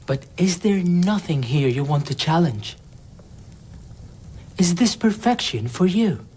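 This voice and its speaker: A man's voice: sits high, animated, takes it slowly, a little mellow. An actor playing an enslaved young foreign man in an audio drama, defiant and questioning. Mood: challenging. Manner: challenging, pointed. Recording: clear background noise.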